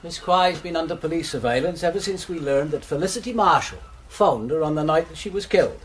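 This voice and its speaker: An actor playing a calm, clipped male police sergeant in a radio drama. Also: on the high side, a little fast, slight background noise, close to an everyday voice. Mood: laconic. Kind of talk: BBC radio drama acting.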